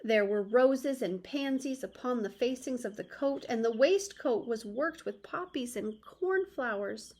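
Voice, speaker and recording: A woman reads aloud to children as a storyteller. Her voice sits fairly high and she takes her time, very slowly and expressively. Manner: expressive, addresses young listeners.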